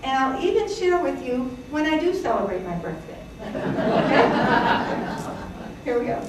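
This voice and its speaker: A woman's voice: sits high, big pitch swings, a little slow, over a noisy crowd, very noisy. A woman in her sixties, from Greece, telling her family's immigrant story to an audience, chatty, with fillers and little laughs. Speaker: presenter. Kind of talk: personal history talk to an audience. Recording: echoey hall, from a distance.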